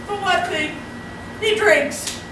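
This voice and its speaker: Casting: actress as haughty, exasperated middle-aged mother, stage comedy, high voice, fretful emphatic complaining, distant miking. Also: takes it slowly. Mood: indignant. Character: flustered, indignant middle-aged society mother, exasperated by her brother. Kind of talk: comic stage play performed live. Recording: echoey, very noisy hall from far off.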